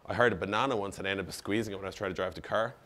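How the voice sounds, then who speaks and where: A man's voice: deep voice, very fast, sharp tone. A man in his thirties with a slightly worn voice gives an informal talk on stage, casual and full of asides and quoted dialogue. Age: 30s. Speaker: startup founder giving a conference talk. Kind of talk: informal presentation to an audience. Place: on stage in an indoor event room. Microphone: lapel mic.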